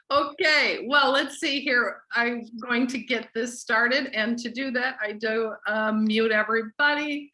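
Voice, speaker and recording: A woman's voice: fairly high pitch, sharp tone, rough recording. A woman casually hosting a group call, introducing things in an enthusiastic, gushing manner. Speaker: host of a weekly company group call.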